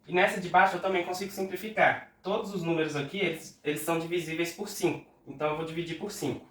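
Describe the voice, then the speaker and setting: A man's voice: on the high side, steady tone, fairly bright tone, roomy sound, distant mic. A man with a Brazilian Portuguese accent, nasal and stuffed up from a cold, patiently working an exam math problem step by step aloud. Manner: patient, talks through arithmetic aloud slowly. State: congested from a cold. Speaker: math tutor.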